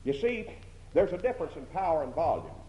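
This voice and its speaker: A man's voice: a little high, sing-song, a little fast, mellow. A man with a strong voice preaching a sermon in a church, emphatic, repeating phrases and asking rhetorical questions. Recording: dull lo-fi recording in an echoey hall.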